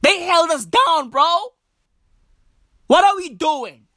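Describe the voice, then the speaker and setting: Woman comic, high voice, slow deliberate delivery, profane slangy rant, dry close sound. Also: animated, a bit bright, no echo. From South Africa. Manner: emphatic, profane, slang-heavy, repeats lines for punch. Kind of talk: stand-up comedy rant.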